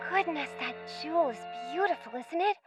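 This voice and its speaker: A woman's voice: sits high, animated, a little fast, faint music behind. A woman playing a curious, polite and sensible young girl in a radio play, speaking earnestly with quaint, old-fashioned turns of phrase.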